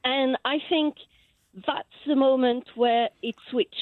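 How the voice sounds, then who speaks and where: A woman's voice: high-pitched, steady tone, takes it slowly, no echo. A woman caller with a French accent, a native French speaker who talks hesitantly and searches for her words. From France. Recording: phone line.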